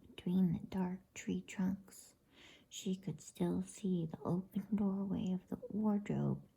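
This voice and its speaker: A woman reading a bedtime story in a soft, breathy ASMR whisper, gentle and unhurried. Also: lowish voice, low-quality recording.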